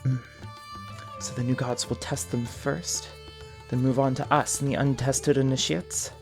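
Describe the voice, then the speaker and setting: A woman's voice: very deep voice, fairly even intonation, a little slow, soft background music. A woman playing an impatient, skeptical temple vizier in a fantasy audio drama, wry and questioning.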